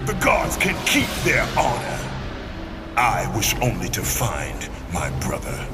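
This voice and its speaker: An actor playing a rage-driven, brooding Spartan warrior in a video game, grim, forceful and defiant. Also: male voice, wildly swooping pitch, slow pace, heavily acted. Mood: cold, defiant. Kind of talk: video game cutscene acting. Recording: loud music and rumble behind.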